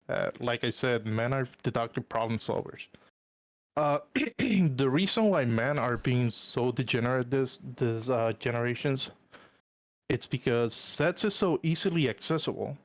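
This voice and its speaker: A man's voice: mid-range voice, animated, a little slow, no echo. A man from Puerto Rico whose first language is Spanish, sick and congested, calling in to debate on a live stream, hesitating with many fillers and restarts. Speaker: caller. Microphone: voice call.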